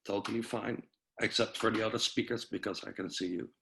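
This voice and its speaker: A man with a Dutch accent, a breathwork and cold exposure coach, speaking on a video call webinar in a friendly, self-mocking way and guiding listeners through slow breaths. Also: on the low side, steady tone, gravelly, a bit bright. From Netherlands. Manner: friendly, laughs at himself, gives slow breathing cues. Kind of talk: guided breathing instruction in a webinar.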